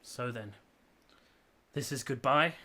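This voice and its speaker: Ardent, stubborn young lover, played by an actor. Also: male voice, mid-range voice, slow pace, sharp tone, a little hiss or hum. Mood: dejected. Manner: earnest, pleading, formal old-fashioned phrasing. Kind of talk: period radio drama acting.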